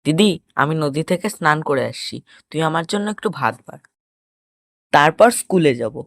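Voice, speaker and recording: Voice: mid-range voice, animated, moderate pace, no echo, studio quality, close to an everyday voice. A performer voicing a cheeky, fearless young schoolboy in a cartoon, exclamatory and plaintive in manner. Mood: anxious. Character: cheeky fearless schoolboy, reluctant pupil, little brother. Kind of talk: Bengali cartoon voice acting.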